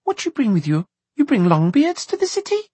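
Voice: medium pitch, huge pitch swings, brisk, darkish tone, slightly rough, no echo, pitched above the performer's own voice. A narrator voicing a skeptical, puckish young girl gatekeeper with quaint childish dialect in a storybook. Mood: suspicious.

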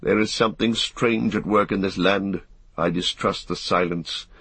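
A man voicing a grave, weary ranger leader in a fantasy audiobook, with brooding, measured, archaic phrasing. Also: deep voice, fairly even intonation, a bit bright, breathy edge, dry recording, exaggerated voice.

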